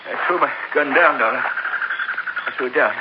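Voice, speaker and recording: An actor playing a cornered, desperate killer in a 1950s radio drama, pleading and self-justifying. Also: male voice, mid-range voice, a little slow, muffled recording, natural voice. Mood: surrendering. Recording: hissy old radio broadcast.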